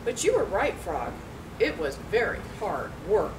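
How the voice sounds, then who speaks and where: A woman's voice: mid-range voice, big pitch swings, a little slow. Lady doing a fretful little toad from a picture book, insistent, very swoopy, noisy distant mic. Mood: earnest. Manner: emphatic, shouting at times. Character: impatient, anxious, childlike male toad gardener. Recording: distant mic, loud background noise.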